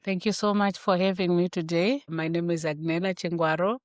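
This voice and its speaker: A woman from Zimbabwe, a grandmother and physician, telling her story in an interview, with thoughtful pauses and repeated words. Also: lowish voice, little pitch movement, no echo, clean studio sound. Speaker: guest, infectious disease physician.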